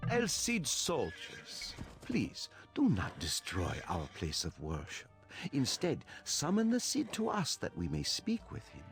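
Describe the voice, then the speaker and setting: A man acting a devout, gentle Moorish holy man in a video game, speaking formally and solemnly. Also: mid-range voice, huge pitch swings, measured pace, faint music behind. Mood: pleading.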